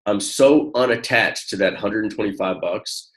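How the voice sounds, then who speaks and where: A man with a low-pitched voice gives one-on-one coaching in a casual, encouraging way. He talks in halting, informal phrases, circling back as he explains. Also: poor audio. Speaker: network marketing coach.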